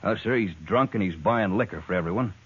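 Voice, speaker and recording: A muffled old radio western voice, low and husky, belongs to a grumbling drinker. He is blunt and reluctant, a cowtown informant who wants no trouble. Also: quick pace.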